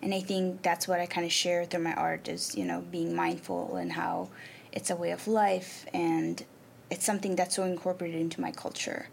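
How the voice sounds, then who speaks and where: A young woman from Nepal, a native Nepali speaker, answering interview questions about her art in a thoughtful way, with many ums and pauses. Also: fairly low pitch, expressive, vocal fry. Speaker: artist and arts program manager. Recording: faint background noise.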